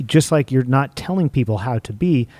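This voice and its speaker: Mellow-voiced male interviewer who restarts words. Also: mid-range voice, lively intonation, moderate pace, dry recording. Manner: probing, restarts words, stutters on openings. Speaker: interview host. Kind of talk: onstage interview. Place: theater stage.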